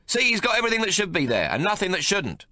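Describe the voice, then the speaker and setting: A man's voice: high voice, huge pitch swings, fast talker, sharp tone, dry recording, clean studio sound, pitched above the performer's own voice, full character voice. A man voicing a domineering, crude old grandfather who bellows and bullies. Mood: bullying. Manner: coarse, bellowing, bullying.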